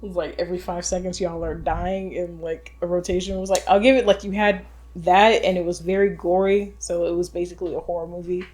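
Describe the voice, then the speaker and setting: Young woman, podcast co-host, casual swearing chat, slightly low voice. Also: level tone, brisk, darkish tone. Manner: loose, swearing, with fillers. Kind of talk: casual chat reviewing movies.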